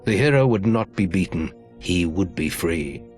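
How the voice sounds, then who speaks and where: An actor playing a grave fantasy storyteller, giving portentous scripted narration for a video game. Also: male voice, low voice, lively intonation, normal speed, darkish tone, soft background music. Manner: solemn, portentous.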